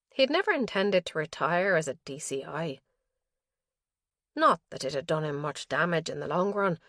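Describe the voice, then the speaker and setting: Low, dry-voiced woman reading a thriller. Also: a bit bright, no echo. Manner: measured, tense storytelling. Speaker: audiobook narrator. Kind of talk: scripted audiobook narration.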